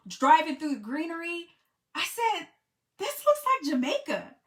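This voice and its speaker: Bright-voiced American woman telling a travel story. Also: high-pitched. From United States. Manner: conversational, many "you know" fillers, grows excited. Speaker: travel vlogger and group trip leader. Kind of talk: casual storytelling to camera.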